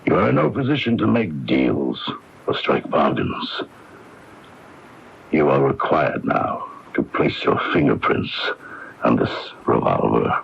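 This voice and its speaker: Very deep, gravelly mob boss. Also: male voice, big pitch swings, full character voice. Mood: stern, commanding. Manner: formal, deliberate, menacing. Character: menacing, coldly polite mob boss with formal speech. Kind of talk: radio mystery drama acting. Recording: dull, noisy AM radio recording.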